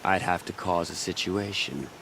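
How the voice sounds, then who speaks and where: A man's voice: sits low, normal speed, gravelly, fairly bright tone, a little hiss or hum. A man playing a haughty, eloquent exiled healer in a video game, dry and sardonic in his wordy delivery. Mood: sardonic, smug. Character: cynical, self-pitying exiled male healer, haughty and eloquent.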